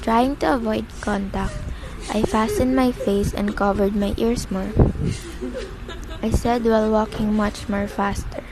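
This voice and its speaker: A woman's voice: on the high side, close to an everyday voice. Woman as melancholy young narrator, noisy recording. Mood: uneasy. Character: melancholy young female patient narrating her story. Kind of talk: first-person scripted radio play narration.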